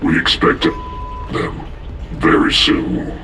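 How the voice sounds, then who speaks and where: An actor playing a menacing elite covert soldier in a game, with a gravelly voice. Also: male voice, extremely slow, roomy sound, over music, rough recording. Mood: ominous.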